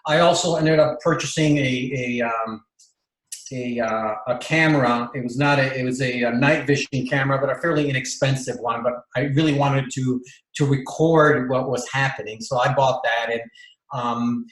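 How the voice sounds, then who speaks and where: Interview guest, Bolivian-born man, mid pitch, halting delivery with lots of restarts, echoey room. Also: steady tone. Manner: rambling, many fillers and restarts. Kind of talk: casual storytelling interview.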